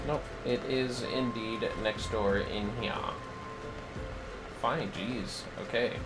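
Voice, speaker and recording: A man's voice: fairly even intonation, slow, over loud music, poor audio. A man livestreaming a game, muttering casual, wry, offhand commentary to himself. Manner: low-key, wry, offhand.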